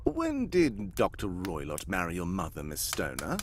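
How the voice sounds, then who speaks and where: A man playing a brisk, commanding gentleman detective in a live radio drama, formal and wry. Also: deep voice, huge pitch swings, slight background noise. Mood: brisk, inquiring. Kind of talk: live old-time radio drama acting, read cold.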